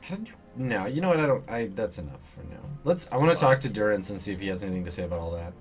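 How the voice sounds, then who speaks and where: A man's voice: on the low side, expressive, very fast, faint music behind. A man streaming a game playthrough with casual, wry, offhand commentary, sometimes reading dialogue aloud.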